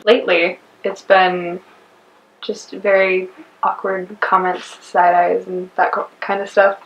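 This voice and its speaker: A teenage girl, a high school freshman, speaking hesitantly in a documentary interview. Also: little pitch movement, slow. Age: teens. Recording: distant, roomy, dull lo-fi sound.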